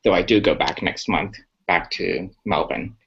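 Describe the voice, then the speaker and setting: Upbeat, chatty young male guest with a lowish voice; lo-fi web-call sound. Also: a little slow, vocal fry, fairly bright tone, slightly rough. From Singapore. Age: young adult. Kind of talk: casual interview chat. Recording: muffled lo-fi call audio.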